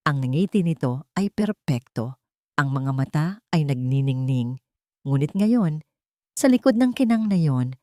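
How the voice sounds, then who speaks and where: Woman reading a drama story, lowish voice, lots of rise and fall, suspenseful, dry close mic. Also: mellow, dry recording. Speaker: audio story narrator. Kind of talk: scripted dramatic narration.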